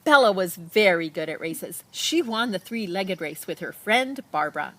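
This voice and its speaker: A woman reads a children's picture book aloud expressively, with dramatic emphasis and pauses and casual asides to her listeners. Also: on the low side, expressive. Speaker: storybook reader.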